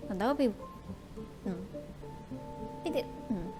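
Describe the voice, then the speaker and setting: A woman's voice: medium pitch, expressive, slow. A woman streaming a game playthrough, reacting casually with playful, muttered asides. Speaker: gaming streamer. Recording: game music behind the voice.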